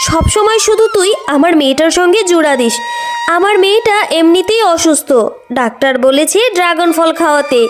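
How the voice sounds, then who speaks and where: A woman voicing a harsh, suspicious fox aunt who scolds and accuses in a children's cartoon. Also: very high voice. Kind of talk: Bangla children's cartoon dubbing. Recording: loud music behind.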